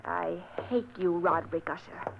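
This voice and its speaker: Casting: headstrong young lady, sharp and indignant, muffled vintage broadcast with light hiss. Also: on the low side, moderate pace, vocal fry. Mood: defiant. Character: spirited young fiancée, defiant. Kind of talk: vintage radio drama acting. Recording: muffled old radio with hiss.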